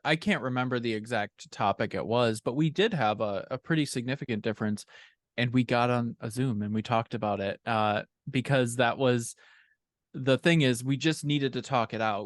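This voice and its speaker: Man, medium pitch, earnest explanatory podcast guest, casual talk, dry close sound. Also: no echo. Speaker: podcast guest, fiction co-author. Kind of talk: casual interview conversation.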